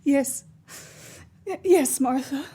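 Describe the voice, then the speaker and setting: A woman acting a grieving, tender mother in a radio play, tearful and halting. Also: high voice, mellow. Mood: tearful grief. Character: grieving, tender working-class mother and wife.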